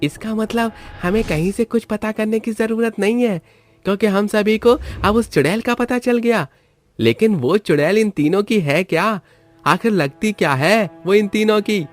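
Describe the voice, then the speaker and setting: Man playing a suspicious villager, fairly high voice. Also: moderate pace, faint music behind, natural voice. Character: questioning, suspicious village man in a horror story.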